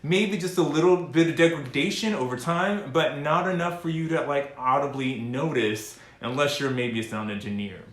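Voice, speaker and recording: A man giving a casual, unscripted music gear demonstration, pausing often mid-sentence as he talks. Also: on the high side, reverberant, a little hiss or hum. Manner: halting, pausing mid-sentence between phrases. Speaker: host of a music gear demo.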